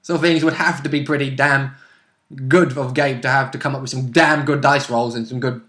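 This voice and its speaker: A man's voice: mid-range voice, fairly even intonation, normal speed, fairly bright tone. A British man chatting casually about a game session as a player, jokey and sweary, often quoting characters.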